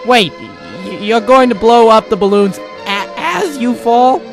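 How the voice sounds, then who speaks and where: An actor playing a flustered, stammering field reporter in a comic old-time radio news skit. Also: male voice, very high-pitched, takes it slowly, faint music behind, exaggerated voice. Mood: earnest, stammering. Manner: stammering, excitable.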